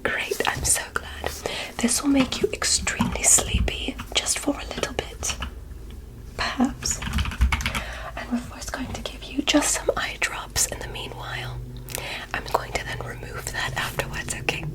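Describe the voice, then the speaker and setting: A woman voicing a polite, curious alien doctor in a whispered ASMR roleplay, gently formal as she explains things. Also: sits high, flat delivery, unhurried, rough recording. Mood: calm, reassuring.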